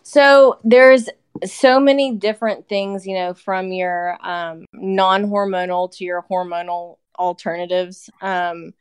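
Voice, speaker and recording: A woman's voice: medium pitch, steady tone, takes it slowly, smooth, dry recording. A woman in her thirties, a nurse practitioner guest, explaining in casual chat with lots of "you know" fillers. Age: 30s. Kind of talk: casual podcast interview.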